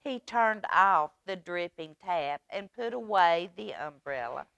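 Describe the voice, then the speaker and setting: A woman reading aloud at a children's storytime, expressive and using simple phrasing for small children. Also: on the low side, measured pace, gravelly, no room sound, dull audio.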